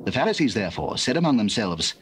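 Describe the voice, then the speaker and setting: A man's voice: fairly low pitch, big pitch swings, fast, slight background noise, poor audio. A man narrating a dramatized scripture in a formal, solemn storytelling manner.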